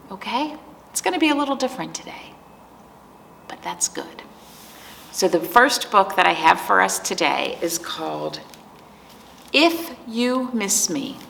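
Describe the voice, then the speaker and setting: Slow-paced woman reading for young children, slightly creaky. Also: medium pitch, slight background noise. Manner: gentle, simple explanations with questions to young listeners. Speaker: children's librarian and storytime host. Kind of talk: picture-book read-aloud and talk for preschoolers.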